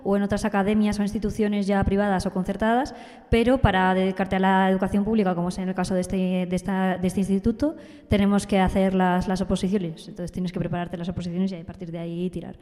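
A young woman gives halting answers as a guest in a casual interview. Her voice is soft-edged and quite flat in melody, with long reverb around it. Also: medium pitch. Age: young adult. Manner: hesitant, halting explanations. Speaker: student teacher, interview guest.

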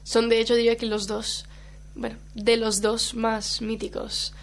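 A woman's voice: a little high, completely flat delivery, unhurried, crowd noise. A woman from Colombia whose first language is Brazilian Portuguese, chatting casually as a guest and laughing often. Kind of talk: casual chat.

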